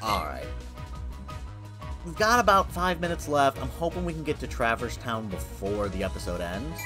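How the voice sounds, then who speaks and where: A man's voice: mid-range voice, very animated, normal speed. A man giving casual, wry, nitpicking commentary as he plays a video game. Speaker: gaming video host. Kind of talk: casual let's play commentary. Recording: game music behind voice.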